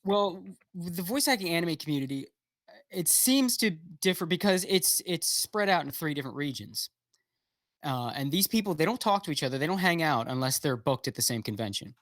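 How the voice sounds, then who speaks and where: A man's voice: fairly high pitch, lively intonation, brisk, fairly bright tone, no room sound, studio quality. A man talking as a guest in a casual livestream chat, rambling with lots of fillers and restarts, blunt and swearing freely, heard over a video call. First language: English.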